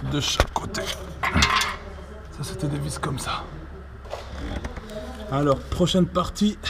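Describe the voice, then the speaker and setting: Gravelly man working on a car. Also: a little high, very noisy. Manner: easygoing, shrugging off setbacks. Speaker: DIY mechanic. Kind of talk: casual how-to narration.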